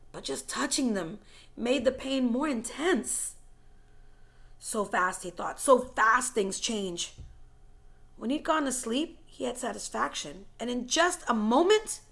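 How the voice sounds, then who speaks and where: A woman reading a story aloud in a dramatic, suspenseful way, moving between hushed whispers and forceful, louder phrases. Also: a little high, creaky. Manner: suspenseful and expressive, dropping to whispers and rising to loud, forceful phrases. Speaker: teacher reading a book chapter aloud.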